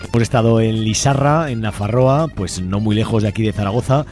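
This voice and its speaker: Spanish guy on community radio, talks quick, keeps checking in with little question tags, music underneath. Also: on the low side. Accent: Spanish from Spain. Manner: frequently ends phrases with a questioning tag. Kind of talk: informal radio report.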